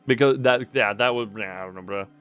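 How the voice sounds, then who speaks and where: A man's voice: fairly low pitch, fast talker, fairly bright tone, clean tone, faint music behind. A man streaming a game with casual live commentary, rambling and correcting himself as he goes.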